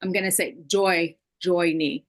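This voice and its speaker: A woman from New York with a New York accent, a guest on a podcast, telling stories in a lively, animated way and acting out bits of dialogue. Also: lowish voice, measured pace. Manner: lively, animated storyteller who acts out dialogue. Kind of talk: casual interview chat.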